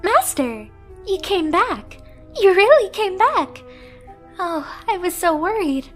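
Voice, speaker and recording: A very high, girlish catgirl played by a woman speaks slowly in an anime ASMR roleplay. She is clingy, timid and devoted, with an anxious, possessive streak, and music plays clearly behind her. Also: big pitch swings, full character voice. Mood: relieved, eager. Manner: timid, hesitant, breathless pleading to the listener.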